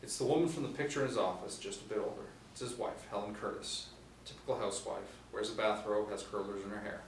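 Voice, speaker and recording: A man's voice: on the low side, quick pace. A man in his forties reading scripted stage directions as a narrator. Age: 40s. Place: small room indoors. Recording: roomy, distant mic, faint noise.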